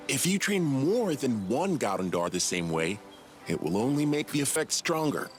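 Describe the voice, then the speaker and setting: Man playing eager nerdy young inventor, wildly expressive. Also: mid-range voice, moderate pace, a little hiss or hum, close to an everyday voice. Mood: eager explaining. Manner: enthusiastic, condescending explainer. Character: eager nerdy young male alien inventor, cocky and excitable. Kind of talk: video game cutscene dialogue. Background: background music.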